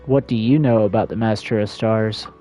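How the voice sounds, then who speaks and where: A man's voice: fairly low pitch, fairly even intonation, moderate pace, warm tone, soft background music. A man livestreaming a game, reading dialogue choices aloud and commenting casually.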